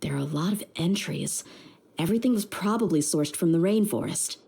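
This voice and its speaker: Low-voiced tough desert mercenary woman. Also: animated, brisk, gravelly, dark tone, a little hiss or hum. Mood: puzzled, suspicious. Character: tough, confident female desert mercenary, young adult. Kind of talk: video game voice acting. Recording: music behind the voice. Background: game music.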